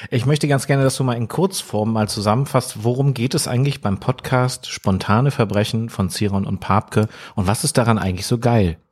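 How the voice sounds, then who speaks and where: A man's voice: medium pitch, moderate pace, hardly any pauses, a little mellow, breathy edge. A man co-hosting a podcast in casual chat, blunt and teasing. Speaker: podcast co-host.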